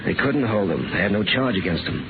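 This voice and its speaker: An earnest, forceful defense lawyer in an old radio drama. He talks fast with a very mobile, slightly high voice. The sound is tinny and noisy. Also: very animated. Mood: grave, frank. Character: brisk male defense lawyer, frank, forceful. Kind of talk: 1950s radio drama acting.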